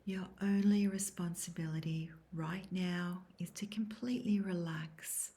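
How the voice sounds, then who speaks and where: A woman with a low, mellow voice leads a guided meditation. She speaks very softly and breathily, close to a whisper. Her delivery is calm, soothing and unhurried, with long, measured instructions. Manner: soft, breathy, hushed, near-whispered delivery. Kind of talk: guided yoga nidra meditation, scripted.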